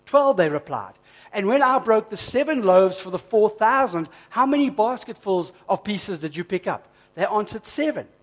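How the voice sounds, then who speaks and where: High-voiced man preaching a sermon. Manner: emphatic, repeats phrases, slows for emphasis. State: hoarse from flu. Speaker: pastor.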